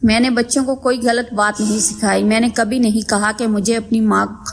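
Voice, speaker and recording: A woman playing a kind, meek young daughter-in-law who is eager to please, speaking gently and politely in an animated story. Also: a little high, normal speed. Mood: hurt, pleading. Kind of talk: Hindi animated moral story dialogue.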